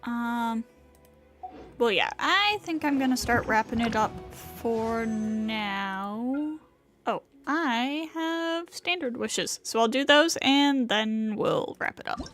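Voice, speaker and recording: Bright-voiced woman streaming a game, music behind. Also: fairly high pitch, animated, takes it slowly. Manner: casual, reacts out loud to the game. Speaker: game livestream host. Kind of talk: casual livestream gaming chat.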